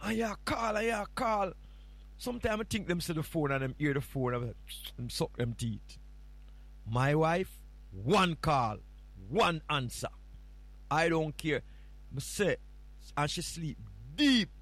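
A man with a Jamaican accent sits in as host of a morning radio show, talking in a relaxed, playful way. He rambles and teases, drifting from story to story, and his voice sits slightly higher than usual. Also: slow pace, long pauses, no echo. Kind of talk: casual morning show chat.

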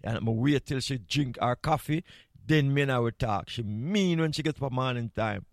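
A man's voice: mid-range voice, normal speed, dry recording, studio quality. A man with a Jamaican accent hosting a morning radio show, chatting casually in a playful, candid way and repeating phrases for emphasis. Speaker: radio host.

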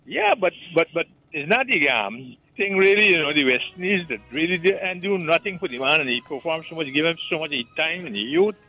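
A Guyanese man calling in to a radio show. His voice is fairly high and very bright, and he rambles with stammered restarts. The sound is dry, with faint music behind him. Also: expressive, a little fast, hardly any pauses, low-quality recording. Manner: rambling, stammering with repeated words. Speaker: caller. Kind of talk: call-in radio chat.

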